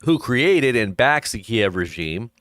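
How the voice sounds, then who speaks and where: A man's voice: mid-range voice, very animated, a little slow, a bit bright, dry recording, clean studio sound. A man hosting a casual live stream, reading news headlines aloud and adding wry asides with chuckles. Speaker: host of an online ministry live stream.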